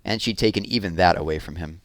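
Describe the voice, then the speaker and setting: A man narrating a children's chapter book aloud as an expressive storyteller. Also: sits low, fast talker, no room sound.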